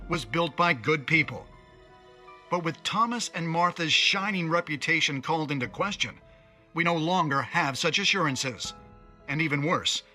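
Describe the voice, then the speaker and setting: An actor playing an opinionated TV news commentator, delivering scripted reports in a pointed, wry, dramatic manner. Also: male voice, fairly high pitch, fairly bright tone. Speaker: news presenter.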